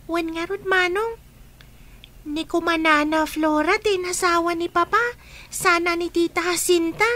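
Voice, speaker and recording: Radio drama: an actress playing a pleading orphan girl, very high and bright. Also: steady tone, a little slow, heavily acted.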